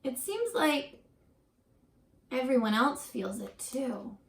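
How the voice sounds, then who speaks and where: A woman teacher reading a picture book aloud to young children, expressive and encouraging, pausing for chatty asides and questions. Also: sits high, vocal fry. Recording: roomy echo.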